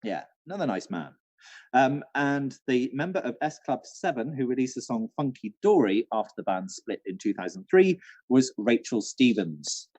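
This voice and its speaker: A man's voice: medium pitch, sing-song, normal speed, darkish tone, low-quality recording. A man co-hosting a quiz with casual, teasing banter. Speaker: quiz co-host.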